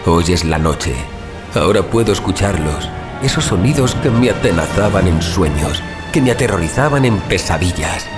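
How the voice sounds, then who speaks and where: An actor playing a frightened, protective brother fleeing sea monsters in a dramatic horror audio drama. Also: male voice, deep voice, expressive, normal speed. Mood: haunted, grave. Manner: dramatic, haunted, swings from grave narration to urgent dialogue. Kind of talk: Spanish horror audio drama, dramatic first-person narration. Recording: loud music over the voice.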